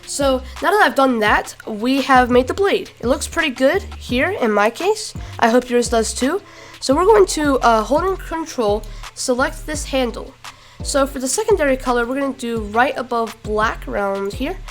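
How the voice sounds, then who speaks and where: A woman with a rough voice giving a casual step-by-step tutorial, halting with fillers and restarts. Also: sits high, animated, faint music behind. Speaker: tutorial creator. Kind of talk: casual screen-recorded tutorial.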